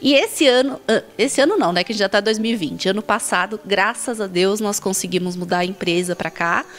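A woman's voice: mid-range voice, brisk. A woman, a restaurant co-owner chatting cheerfully in a TV interview on a kitchen set. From São Paulo state, Brazil. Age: 20s. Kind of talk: casual interview. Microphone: handheld mic.

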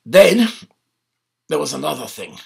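An older man giving a casual, animated history lecture from his home study, speaking into a laptop webcam. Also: a little high, unhurried, gravelly, breathy edge. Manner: animated, conversational, gesturing with his hands. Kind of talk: informal online history talk. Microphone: laptop webcam mic.

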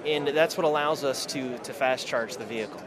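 Staffer, 30s, mid-pitched, hesitant explainer; trade show hall. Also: male voice, moderate pace. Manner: explaining with fillers. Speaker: company staff. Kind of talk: explanatory interview. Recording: crowd chatter behind.

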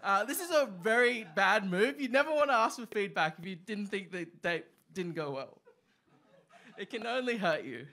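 A young man performing open mic stand-up, with a halting delivery full of ums and uhs, on a small club stage. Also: high voice, animated, gravelly, sharp tone. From Australia. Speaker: stand-up comic. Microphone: stand mic, far camera.

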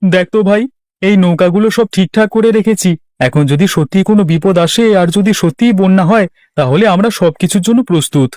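A man's voice: sits high, fairly quick, darkish tone, no room sound, studio quality, lightly acted. A man voicing an earnest, worried village farmer in a cartoon, complaining plainly. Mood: concerned. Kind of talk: Bengali cartoon dialogue.

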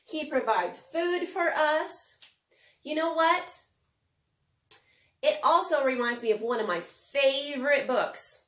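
A middle-aged woman presenting a preschool lesson to young children in an animated way, with simple phrasing and frequent questions to the listener. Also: high-pitched, takes it slowly, long pauses. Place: indoors, home kitchen. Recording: roomy, echoey home kitchen.

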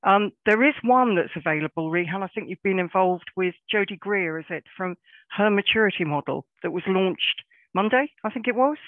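A woman's voice: mid-range voice, expressive, moderate pace, dry recording. A woman hosting a webinar and putting questions to a guest. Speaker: webinar host. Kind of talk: moderating a Q&A. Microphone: webcam on video call. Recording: tinny phone-like call audio.

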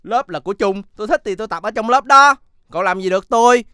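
A man's voice: sits high, fast, background noise, natural voice. A man voicing a stubborn, boastful, taunting schoolboy in a children's cartoon. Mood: stubborn, provoking. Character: cocky, stubborn schoolboy, a crumpled paper ball. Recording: music behind the voice.

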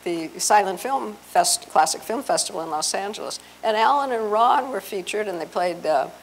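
A middle-aged American woman giving an informal, digressive talk as a presenter, halting as she goes. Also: mid-range voice, expressive. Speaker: presenter at a convention. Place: hotel meeting room with seated audience. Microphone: handheld microphone. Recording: noisy hotel meeting room.

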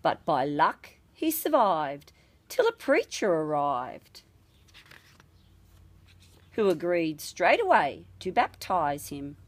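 A woman reading a ballad expressively, with measured, dramatic storytelling. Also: fairly low pitch, huge pitch swings. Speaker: storybook reader.

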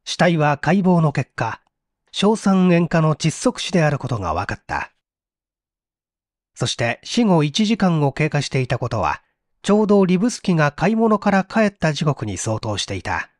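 High-pitched, lively male mystery narrator. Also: moderate pace, dry recording, clean studio sound. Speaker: audiobook reader. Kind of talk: dramatic scripted narration of a mystery story.

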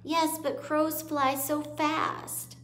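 A woman's voice: high voice, little pitch movement, very slow, a bit bright, pitched above the performer's own voice, exaggerated voice. A woman voicing a worried, pleading young girl mouse in a storybook reading. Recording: echoey room.

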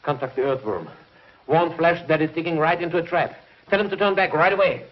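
An actor playing a commanding commissioner in a 1950s TV sci-fi serial, clipped and authoritative. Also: male voice, a little high, a little fast, natural voice. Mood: alarmed, demanding. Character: brusque, commanding commissioner in uniform. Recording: muffled old TV soundtrack, faint noise.

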